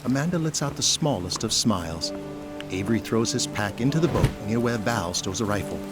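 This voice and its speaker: A male narrator with a fairly deep voice reads scripted narration for an audio drama. Music is clearly audible behind him throughout. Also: lively intonation, a little fast.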